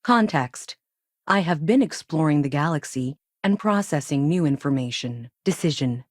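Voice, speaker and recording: A woman playing an emotionless, logical female AI space probe in a video game, speaking in a clipped, formal, deadpan way. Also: low-pitched, moderate pace, no room sound, clean studio sound. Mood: flat, analytical. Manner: clipped, formal, deadpan, announces each statement type first. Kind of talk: scripted game dialogue.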